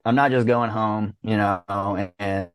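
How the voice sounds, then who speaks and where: A man in his thirties chatting casually and excitedly as a podcast guest, rambling with lots of slang and swearing. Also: lowish voice, measured pace, smooth, no echo. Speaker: podcast guest, disc golf business owner.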